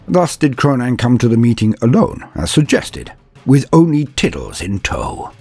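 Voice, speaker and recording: A man's voice: medium pitch, gravelly, darkish tone, husky, no echo. A man narrating a comedic fan story in a grand, mock-epic storyteller manner, over faint music.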